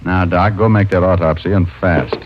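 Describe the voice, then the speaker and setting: Man playing a lowish-voiced wry private eye. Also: steady tone, normal speed, noisy background, natural voice. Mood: brisk, probing. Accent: American. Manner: wry, self-mocking. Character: wry, wisecracking big-city male private detective. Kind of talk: 1940s-50s radio detective acting and narration. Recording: dull old radio recording with music.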